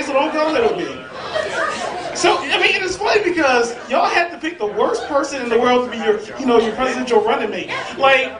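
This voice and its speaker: A man doing a brash, profane stand-up set on a club stage, teasing the crowd. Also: very high voice. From southern United States. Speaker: stand-up comedian. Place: comedy club stage before a live crowd. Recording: roomy live club audio from a distance with crowd.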